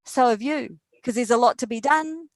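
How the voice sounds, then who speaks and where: A woman's voice: a little high, normal speed, no room sound. A woman from New Zealand with a New Zealand accent, performing a spoken word poem with a rhythmic, rhyming delivery over a video call. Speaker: poet.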